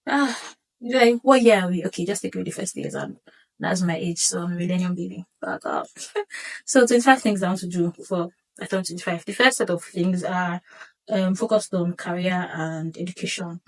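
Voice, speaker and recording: A woman in her twenties hosting her own vlog, seated and chatting casually, often pausing to correct herself with light laughs. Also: on the low side, rough recording. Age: 20s. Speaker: vlog host. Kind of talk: casual solo vlog monologue. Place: seated indoors.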